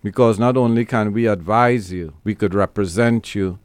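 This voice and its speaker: A man's voice: lowish voice, normal speed, darkish tone, no room sound. A man born in the Caribbean hosting a radio talk show, speaking emphatically with a lot of repetition. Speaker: radio show host and lawyer.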